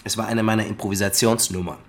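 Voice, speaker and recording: Man playing a laconic, low-voiced witness. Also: fairly even intonation, quick pace, natural voice. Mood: deadpan. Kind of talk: radio drama dialogue.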